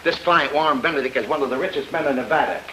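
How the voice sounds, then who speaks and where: Pushy smooth-talking bureau chief, old radio mystery, bright voice, faint noise. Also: male voice, on the high side, racing, low-quality recording. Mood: persuasive. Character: wily, jovial, pushy missing-persons bureau boss. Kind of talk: old-time radio detective drama acting.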